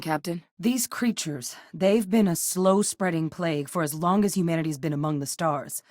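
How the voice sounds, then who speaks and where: An actress playing a composed, determined female military researcher in a sci-fi video game, speaking in a measured, earnest way. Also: lowish voice, darkish tone, dry recording, clean studio sound, lightly acted.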